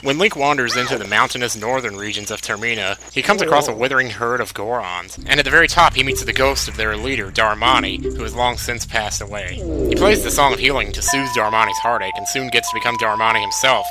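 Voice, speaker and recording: A man's voice: mid-range voice, quick pace, low-quality recording. A man hosting a video game countdown with scripted narration, conversational and slangy, with wry asides. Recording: music behind the voice. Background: music.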